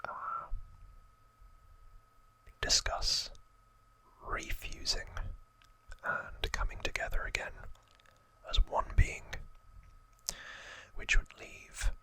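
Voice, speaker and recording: A man whispers slowly as an ASMR host. His voice is all breath, with crisp, very bright sibilant edges. He keeps a gentle, hushed narration, lingering on words. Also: poor audio. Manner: slow, gentle, hushed delivery with drawn-out words.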